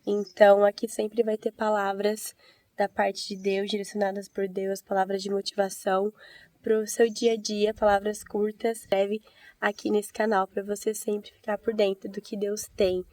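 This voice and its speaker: Hoarse Brazilian woman sharing her testimony. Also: mid-range voice, little pitch movement, moderate pace, a little mellow, no echo. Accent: Brazilian Portuguese. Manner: earnest, chatty, preachy. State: hoarse from a cold. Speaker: evangelical video host. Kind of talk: casual testimony vlog.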